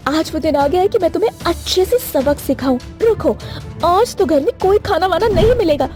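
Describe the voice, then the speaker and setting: A woman's voice: extremely high pitch, animated, fairly quick, a little mellow, faint music behind. A woman voicing a feisty, scolding young wife in a dramatic cartoon moral story, indignant in manner. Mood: angry scolding.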